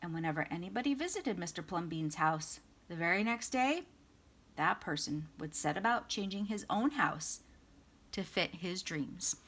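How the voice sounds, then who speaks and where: Animated, bright-voiced woman reading a storybook. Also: on the low side, normal speed, breathy edge. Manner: expressive, dramatic emphasis. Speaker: storytime reader. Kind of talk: animated children's picture book read-aloud.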